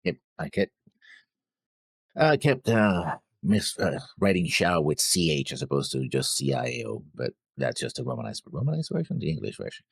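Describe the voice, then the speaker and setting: A man co-hosting a reaction show in casual chat, halting and often trailing off. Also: a bass voice, sing-song, creaky, slightly rough, dry recording. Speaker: co-host of anime reaction show.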